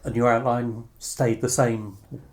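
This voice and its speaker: An interviewer asking casual questions in a tentative, gently probing way. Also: medium pitch, level tone, a little slow.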